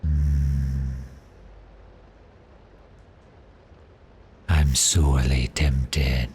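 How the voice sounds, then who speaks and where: A man playing a predatory vampire in an intimate ASMR roleplay, teasing and menacingly gentle, with a breathy, half-whispered voice. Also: rumbling low, little pitch movement, slight background noise, exaggerated voice. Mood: predatory, tempted. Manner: slow, teasing, menacingly gentle, lingering pauses. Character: cheerful, predatory male vampire, silkily toying with prey.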